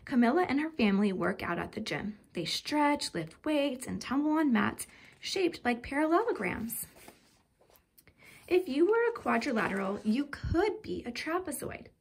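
A woman's voice: on the high side, creaky, slightly rough. A woman reading a children's picture book aloud like a teacher, enunciating carefully and with expression.

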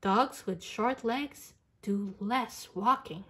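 A woman voicing a matter-of-fact, know-it-all young boy in a picture book, explaining things briskly. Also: a little high, a bit bright, breathy edge, heavily acted.

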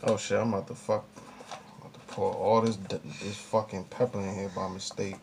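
A man's voice: lowish voice, little pitch movement, brisk. A young American man chatting casually as a home cooking vlogger, with a slangy, offhand way of talking. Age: young adult. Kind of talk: casual chat while demonstrating cooking. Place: small home kitchen, indoors. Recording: clearly noisy amateur camera recording.

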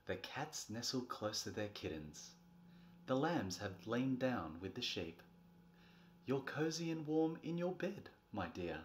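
Theatrical man reading a storybook, very expressive pitch. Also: unhurried, slight background noise. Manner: theatrical, swinging from soft bedtime tone to exasperated outbursts. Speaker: presenter reading a picture book. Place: small furnished nursery room indoors.

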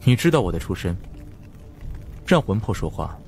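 A man voicing a menacing, coolly confident ghost hunter in an audiobook drama, taunting with deliberate threats. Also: deep voice, very animated, darkish tone, soft background music. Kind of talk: dramatized audiobook character acting.

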